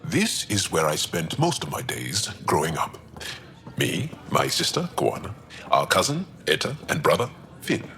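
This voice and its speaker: A man playing a gentle, sentimental alien crewmate in a video game, with a measured, earnest delivery. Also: low-pitched, wildly swooping pitch, exaggerated voice. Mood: warm, welcoming. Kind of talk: video game dialogue acting.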